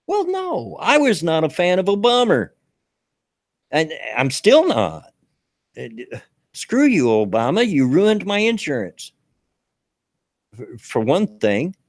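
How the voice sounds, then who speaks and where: A man's voice: high voice, huge pitch swings, slow pace, long pauses, dry recording, clean studio sound. A man with a Southern US accent chatting casually as a podcast host, stammering through restarts and chuckling often. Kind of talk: casual political chat.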